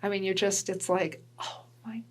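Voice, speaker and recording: A woman's voice: medium pitch, fairly even intonation, moderate pace. A woman from New Hampshire hosting an interview, chatty and encouraging with frequent affirmations. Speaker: host.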